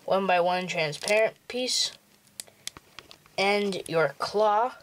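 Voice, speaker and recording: A man's voice: high voice, fairly bright tone, slight background noise. A young male host giving a plain, step-by-step walkthrough for building a toy model. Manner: slow, plain instructional walkthrough. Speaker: tutorial host.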